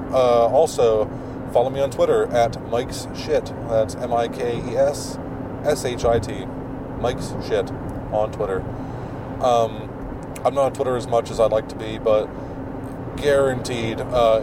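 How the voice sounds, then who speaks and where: A man hosting his own podcast, with a deep, mumbling voice, rambling through plugs with lots of fillers while he drives. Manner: rambling, filler-heavy, self-deprecating. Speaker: podcast host. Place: in a car while driving. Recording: distant mic with road noise.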